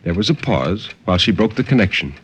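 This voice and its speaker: A man giving a dramatic, deliberate and intense reading of suspense fiction in 1950s radio style. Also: low-pitched, big pitch swings. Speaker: story narrator. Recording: muffled, noisy 1950s radio recording.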